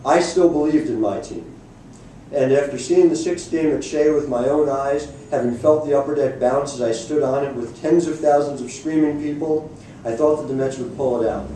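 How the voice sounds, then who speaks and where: A man reads an essay aloud to an audience, his voice mellow and warm-toned. It comes through very echoey, picked up from some distance, with faint noise. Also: fairly high pitch. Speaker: reader at a library book reading. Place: indoor room at a public library event.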